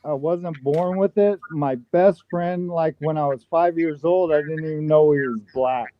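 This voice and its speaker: A man on a live panel chat who talks excitably, repeats himself and jokes in mock disbelief. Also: a little high, a little slow, dry recording. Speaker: panel participant. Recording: dull lo-fi dry sound.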